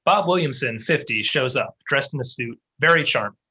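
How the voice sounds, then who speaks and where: A reader delivers screenplay action lines as narration. The voice is mid-pitched, husky and sharp-edged. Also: expressive, normal speed. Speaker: stage-direction reader. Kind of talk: scripted narration of screenplay directions.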